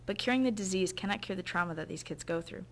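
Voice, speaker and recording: A woman narrating a documentary in an earnest, measured, scripted way. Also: medium pitch, a little hiss or hum.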